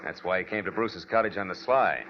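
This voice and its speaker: An actor playing a wry, weary private detective in a 1940s radio noir drama, with dry, deliberate, sardonic delivery. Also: male voice, sits low, dull audio, a little hiss or hum. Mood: explanatory, wry. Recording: band-limited 1940s radio recording with music.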